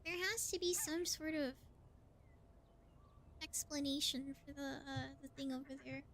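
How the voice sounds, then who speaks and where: Girly high voiced woman live streaming a game, chatting to herself playfully, bit of noise behind her. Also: normal speed, a bit bright. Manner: playful, exclamatory, thinking aloud. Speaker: livestreamer playing a game. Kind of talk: casual live gameplay commentary.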